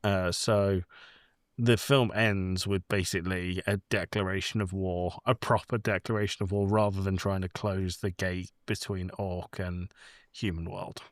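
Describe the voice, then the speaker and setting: Male podcast co-host, lowish pitch, dry close sound; casual, sweary, rambling film chat. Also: level tone, a little slow, no room sound. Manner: informal, sweary, rambling explanations.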